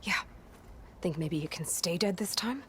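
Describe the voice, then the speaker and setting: A woman's voice: on the low side, expressive, moderate pace, a bit bright, slight background noise. A woman playing a determined, sardonic young female hero in a video game, speaking in a dry, defiant manner. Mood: guarded, bitter. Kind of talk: video game cutscene acting.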